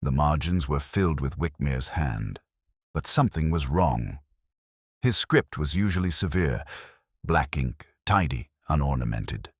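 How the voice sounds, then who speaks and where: A man playing a brooding, observant police inspector, narrating his case in a measured, understated, literary way for an audio drama. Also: rumbling low, lively intonation, slightly rough, dry recording, studio quality, exaggerated voice. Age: middle-aged. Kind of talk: scripted first-person detective narration, audio drama.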